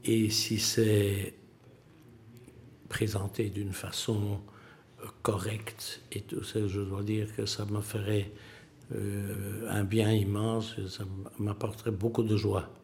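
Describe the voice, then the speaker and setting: An older man from Belgium answering interview questions, halting, with many pauses and restarts. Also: fairly low pitch, flat delivery, creaky, a little mellow, slight background noise. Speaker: museum president, witness.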